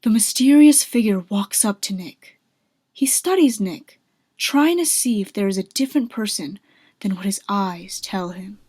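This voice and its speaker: A woman's voice: medium pitch, expressive, unhurried, mellow, breathy edge. A woman reading scripted third-person narration as the narrator of a radio drama.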